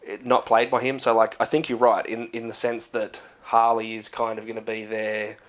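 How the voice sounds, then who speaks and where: A man's voice: on the low side, little pitch movement, moderate pace. A man with an Australian accent, co-hosting a casual movie chat, rambling with many fillers and restarts. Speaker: co-host.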